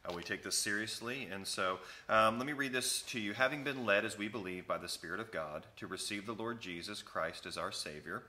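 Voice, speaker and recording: A man in his thirties, a pastor, explaining and reading a church covenant to new members in a relaxed, conversational way, recorded on a close camera in an empty sanctuary. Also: fairly low pitch, a little fast, few pauses. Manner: conversational, explains each passage after reading it, many fillers. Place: empty church sanctuary with wooden pews, indoors. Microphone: camera at close range.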